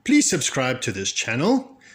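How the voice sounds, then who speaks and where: A man giving an expressive storybook narration for children, with deliberate, animated phrasing. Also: mid-range voice. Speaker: storybook reader, children's read-aloud channel.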